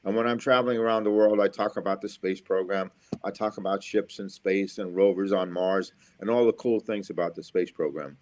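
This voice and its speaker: A man's voice: sits low, fairly even intonation, a little fast, darkish tone. A middle-aged American man from Florida, an engineer and school speaker, giving upbeat, motivational interview answers and repeating his key phrases. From USA, Florida.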